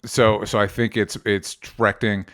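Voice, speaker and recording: A man's voice: fairly low pitch, little pitch movement, normal speed, a bit bright. A man with an American accent chatting casually and informally about entertainment news as a podcast co-host, often qualifying what he says. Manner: informal, hedging explanations.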